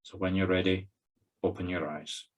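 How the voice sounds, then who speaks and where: Deep-voiced Scottish man guiding a meditation. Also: fairly even intonation. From Scotland. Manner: slow, pausing instructions in short phrases. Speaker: spiritual medium leading a group circle. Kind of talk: guided meditation.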